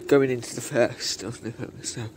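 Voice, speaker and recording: A man's voice: medium pitch, steady tone, normal speed. A British teenage man chatting casually for a vlog in a hesitant, rambling way. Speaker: student vlogger. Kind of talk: casual day-in-the-life vlog chat. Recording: clearly noisy amateur handheld recording.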